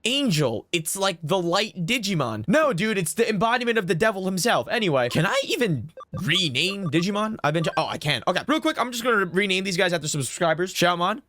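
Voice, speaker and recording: A man giving casual, rambling gameplay commentary, excitable and quick to correct himself, his voice a little raspy from just waking up. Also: sits high. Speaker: let's play gamer.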